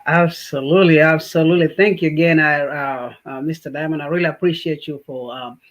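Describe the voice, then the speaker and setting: A man from Tanzania, a guest on a podcast, talking in a warm, grateful way with many fillers and restarts. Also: on the high side, steady tone, brisk. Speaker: guest, healthcare business owner. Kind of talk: casual interview.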